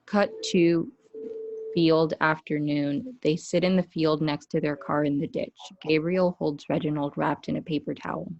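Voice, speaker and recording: Female narrator for a script read-through, notably low pitch, reading action lines. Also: fairly even intonation, a little slow, vocal fry, darkish tone, muffled recording, slight background noise. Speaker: stage-direction reader.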